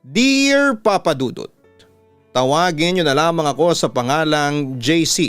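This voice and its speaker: A man with a Filipino accent reads a personal story in a radio announcer's dramatic style. His voice is smooth and resonant, pitched a little high for a man. Soft music plays underneath. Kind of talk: dramatic first-person letter narration. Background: music bed.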